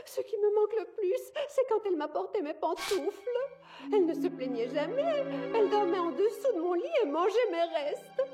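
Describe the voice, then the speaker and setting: A woman's voice: very high-pitched, lively intonation, moderate pace. A woman voicing a bossy, pompous, melodramatic school head in a French cartoon dub. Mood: melodramatic grief. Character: theatrical, bossy, dramatic female school head. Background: music.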